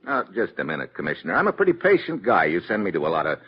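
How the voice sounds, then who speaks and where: Hardboiled American agent, old-time radio detective drama: husky, sardonic, very lively inflection. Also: male voice, low voice, racing, full character voice. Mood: sarcastic, incredulous. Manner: wry, sarcastic, slangy. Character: tough, wisecracking male American government agent and globetrotting troubleshooter. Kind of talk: 1950s radio detective acting with first-person narration. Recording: dull vintage radio recording, faint music.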